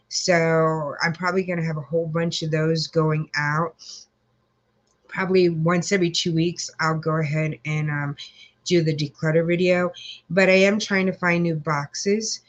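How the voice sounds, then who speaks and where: A woman hosts a relaxed live chat, talking at an unhurried, slow pace in a somewhat low voice. She sounds congested and rambles with lots of ums and uhs, breaking off to greet viewers. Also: highs cut off. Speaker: livestream host.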